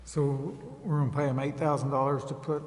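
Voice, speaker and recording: A man's voice: mid-range voice, flat delivery, a little fast. Councilman in a reverberant, echoey room. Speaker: city council member. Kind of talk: informal meeting discussion. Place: indoor council chamber. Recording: echoey council chamber, faint background noise.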